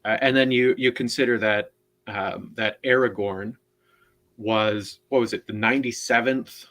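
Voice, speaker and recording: A man on a book club panel, explaining in a halting way with many restarts and checks of "right?". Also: lowish voice, fairly even intonation, a bit bright. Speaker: co-host and panelist. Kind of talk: casual online book discussion.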